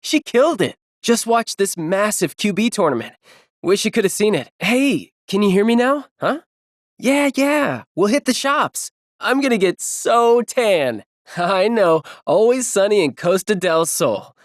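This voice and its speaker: A woman's voice: medium pitch, lively intonation, a little slow, no echo, clean studio sound, lightly acted. An actress playing an upbeat, chatty female holidaymaker in casual video game dialogue. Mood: breezy, amused.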